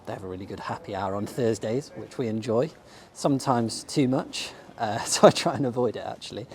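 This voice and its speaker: A man's voice: fairly low pitch, fairly quick, a little mellow, slight background noise. A man from England, slightly losing his voice, chatting enthusiastically as he films himself walking up a sunny outdoor shopping street. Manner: chatty and enthusiastic, with frequent ums. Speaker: bookshop owner and vlogger. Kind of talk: casual walking tour vlog. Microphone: handheld camera filming himself while walking. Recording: faint outdoor street ambience.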